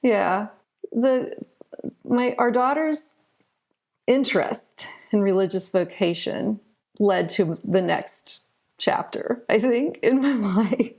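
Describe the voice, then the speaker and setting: A middle-aged woman speaks as a guest in an interview, sharing personal stories in a conversational way. Her voice sits at an ordinary pitch for a woman, with noticeable creak running through it. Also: slow, a little mellow. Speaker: interview guest, children's author.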